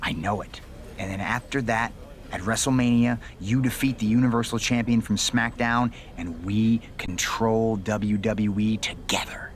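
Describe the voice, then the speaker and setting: An actor playing a confident, scheming veteran wrestler in a video game cutscene, persuasive and conspiratorial, sometimes dropping to a near whisper. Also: male voice, on the low side, measured pace, lightly acted. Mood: urging, conspiratorial.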